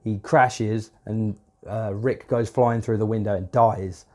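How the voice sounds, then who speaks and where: A man's voice: lowish voice, fairly even intonation, takes it slowly, darkish tone. A man with a cold and a stuffed-up nose, casually reviewing a show episode in a rambling way, with lots of fillers and swearing. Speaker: video reviewer.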